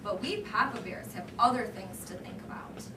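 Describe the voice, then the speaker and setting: A woman's voice: medium pitch, normal speed, reverberant, distant mic, noisy background, lightly acted. A woman voicing a self-important father bear from a storybook, lecturing about manners.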